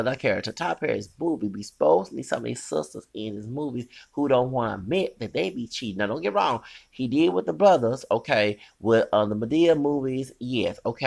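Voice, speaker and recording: A man's voice: mid-range voice, vocal fry. A man, ranting in a heated, rambling commentary and scolding his critics with curses. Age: 40s.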